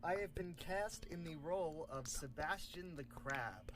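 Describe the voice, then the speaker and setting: A young man giving a quick upbeat update in an old video clip. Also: a little high, animated.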